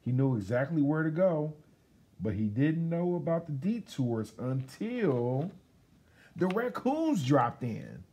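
A man's voice: fairly high pitch, sing-song, takes it slowly, warm tone. A middle-aged man from Detroit with an American accent, casually reading a children's picture book aloud indoors. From Detroit, United States. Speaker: guest storybook reader, radio station employee. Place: school library, seated indoors.

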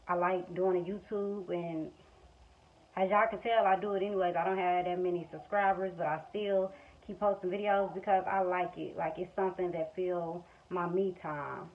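Woman, 20s, American accent, lowish voice, unscripted colloquial vlog chat, slightly muffled phone audio. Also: steady tone, moderate pace. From Missouri, United States. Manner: colloquial, frank. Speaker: vlogger, stay-at-home mother. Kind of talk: casual off-the-cuff chat to camera. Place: small room at home, indoors. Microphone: phone at arm's length or propped nearby. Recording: dull lo-fi phone audio, faint background noise. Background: household background noise.

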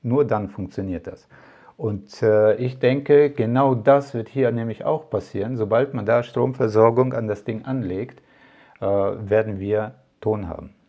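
A man's voice: fairly low pitch, unhurried, muffled recording. A man talking casually to camera as a tech vlogger, rambling with many fillers and asides. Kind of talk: casual product talk to camera.

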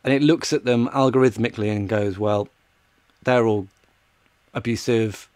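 A man in his thirties co-hosting a casual tech podcast in a matter-of-fact, low-key way. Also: steady tone, normal speed, a little mellow, no room sound, clean studio sound. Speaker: podcast co-host. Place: small home office.

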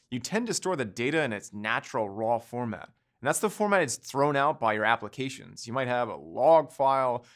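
A man's voice: mid-range voice, a bit bright. Guy in his 30s teaching a cloud course, voice goes up and down a lot, explaining step by step in a studio. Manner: explanatory, gestures with both hands while talking. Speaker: course instructor. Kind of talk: scripted course lecture.

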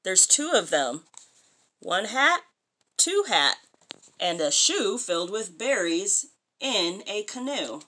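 A woman in her thirties reading a picture book aloud to a young child in an expressive, sing-song way, adding questions and comments. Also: medium pitch, slow pace, pauses often. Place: small furnished room indoors.

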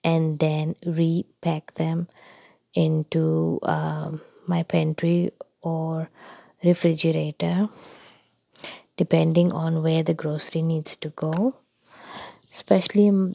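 A woman from India talks in a car as she drives to the shops, unhurried and soft-spoken, pausing often on ums and uhs. Her voice sits a little low and sounds gravelly and mellow. The sound is close and dry, with little room echo. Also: level tone. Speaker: vlogger. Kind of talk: casual narration over driving footage. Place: inside a car.

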